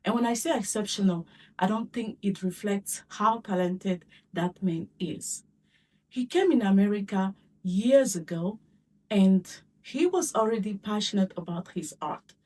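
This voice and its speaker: A woman host with a somewhat husky voice, heard from a distance away from the mic. She speaks with formal, gracious introductions and short prompting questions. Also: mid-range voice, unhurried, darkish tone. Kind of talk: interview hosting.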